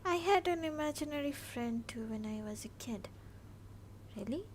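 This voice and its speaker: A woman's voice: sits high, lively intonation, moderate pace, background noise. A woman with an Indian accent casually livestreaming a game, chatting and reading dialogue aloud. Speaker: game streamer.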